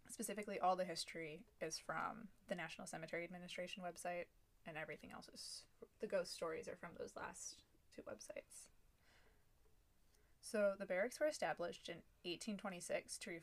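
A woman's voice: lowish voice, steady tone, fairly quick. A young adult woman co-hosting a casual podcast, recounting history from her notes with small restarts and asides. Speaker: podcast co-host. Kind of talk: casual chat, then telling a researched history.

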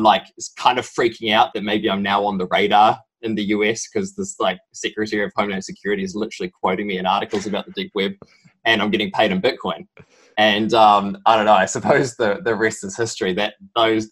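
A young man with a strong New Zealand accent and a very low, deep voice. He speaks as a podcast guest in relaxed conversation, stopping and restarting often and padding his story with ums. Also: poor audio. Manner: halting, rambling storytelling full of ums. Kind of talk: casual interview talk.

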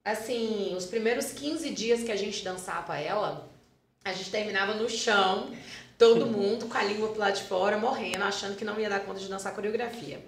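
Gravelly Brazilian woman telling stories. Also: mid-range voice, fairly quick, roomy sound. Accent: Brazilian Portuguese. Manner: animated storyteller, repeats words for emphasis. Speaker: dance school founder and teacher. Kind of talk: casual podcast interview.

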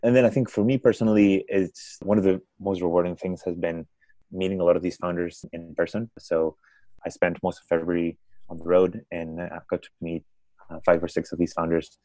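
A man's voice: low voice, level tone, a little fast, dark tone, no echo. A man with a Spanish accent, a startup founder answering podcast questions thoughtfully and often restarting his words. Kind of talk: conversational interview answers.

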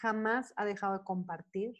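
A Mexican woman in her forties speaking casually as an interview guest, telling stories in an animated way and acting out bits of dialogue. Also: medium pitch, moderate pace. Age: 40s. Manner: animated storytelling, often acting out quoted dialogue. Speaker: guest performer, singer and actress.

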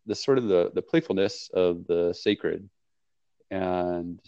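A man's voice: deep voice, slow, dark tone, smooth, no echo, highs cut off. A man hosting a podcast in a casual, meandering way, laughing often as he talks. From not Portugal, lives in Portugal. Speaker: podcast host. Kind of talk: casual conversational interview.

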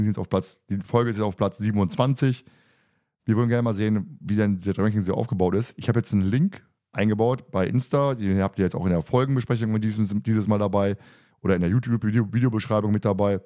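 A man with a fairly low voice talks very quickly as he moderates a casual podcast. He is chatty and teasing, and the sound is dry and close. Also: mellow, no room sound. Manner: joking, teasing, talkative. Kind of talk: casual podcast chat and announcements.

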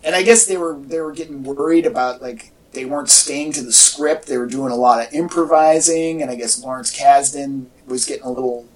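A man talking casually as a podcast co-host, in a halting, conversational way. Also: mid-range voice. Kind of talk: casual chat about movie news. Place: indoor room with echo. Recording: echoey room, distant from mic.

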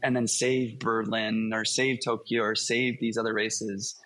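A man talking casually as a podcast guest, animated and telling stories, quoting himself as he goes. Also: on the low side, unhurried. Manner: animated storyteller, quotes himself. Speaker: podcast guest, running YouTuber. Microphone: remote call.

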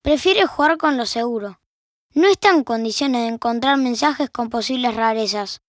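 An Argentine actress playing the wry, all-knowing narrator of a scripted domestic audio drama. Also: female voice, a little high, moderate pace, no echo, close to an everyday voice. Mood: wry, observant. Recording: music bed behind a dry studio voice.

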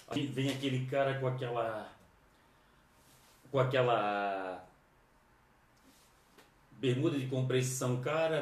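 A man's voice: medium pitch, a little mellow, a little hiss or hum. A man with a southern Brazilian accent chatting casually on a livestream, informal and rambling, often repeating his phrases. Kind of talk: casual livestream chat, greeting viewers. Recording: echoey room, distant microphone.

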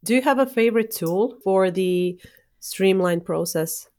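A woman's voice: mid-range voice, a little slow. A woman hosting an interview podcast, encouraging her guest and asking questions. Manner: encouraging, asks questions warmly. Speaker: podcast host. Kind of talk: casual interview.

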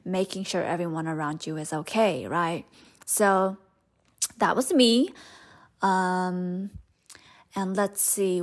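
A young woman, Hmong her first language, hosting a podcast and telling her own story in a candid, rambling way with many fillers. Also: fairly low pitch. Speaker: podcast host. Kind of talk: personal storytelling monologue.

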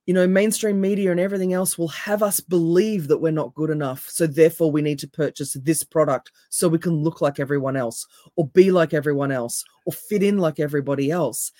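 Woman, deep raspy voice, mellow tone, emphatic coach, dry sound. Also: fairly quick, few pauses, no echo. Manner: emphatic, animated. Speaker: NLP coach and hypnotherapist, guest. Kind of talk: casual interview chat.

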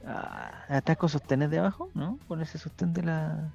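Chilean man with a gravelly voice. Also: a little high, moderate pace, darkish tone, dull audio, faint music behind. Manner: joking, slangy, leads the questions. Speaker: host. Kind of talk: casual football podcast chat.

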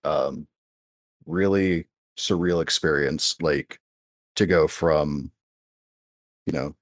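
A man's voice: rumbling low, slow. An American man chatting casually on a podcast about model kits, rambling with lots of fillers and restarts. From United States. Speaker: podcast co-host. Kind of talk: casual chat.

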